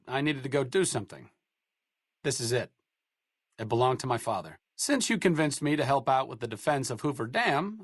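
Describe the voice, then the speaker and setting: A man's voice: medium pitch, no echo, natural voice. A man playing a wry, bookish wasteland scientist. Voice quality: dry and sardonic. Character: wry, educated male scientist companion in a wasteland. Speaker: companion character. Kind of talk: video game voice acting.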